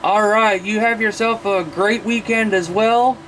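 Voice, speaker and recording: A man's voice: high-pitched, fairly even intonation, unhurried, a bit bright, slight background noise, poor audio. An American man chatting enthusiastically as a CB radio operator, talking to other operators and to his viewers. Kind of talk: casual radio chat and vlogging to viewers.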